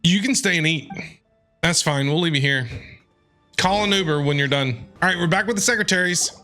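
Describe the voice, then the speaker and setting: A woman streamer reacting live to a game, excitable and talking along to the characters. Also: fairly low pitch, brisk, soft background music.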